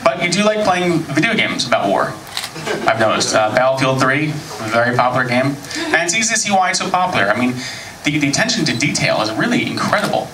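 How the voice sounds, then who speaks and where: An American man in his thirties performing a stand-up comedy set on a club stage, with a dry, deadpan delivery. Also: on the high side, fast talker, a crowd in the background. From United States. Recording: echoey club recording from far off. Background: audience laughter.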